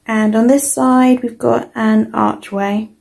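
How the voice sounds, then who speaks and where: A young woman with a British accent giving a casual, informal toy review as an unhurried walkthrough. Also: a little high, fairly even intonation, gravelly, a little mellow.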